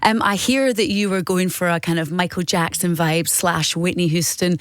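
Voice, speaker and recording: A woman's voice: on the low side, steady tone, normal speed, dry recording. A British woman, a radio presenter, asking a guest questions in a bubbly, enthusiastic way in the studio. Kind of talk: chatty radio interview.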